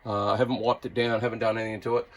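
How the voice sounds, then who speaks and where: A man's voice: lowish voice, flat delivery, rapid-fire. A man with an Australian accent gives a casual, hands-on radio gear review as a hobbyist, talking in a laid-back, colloquial, blokey way.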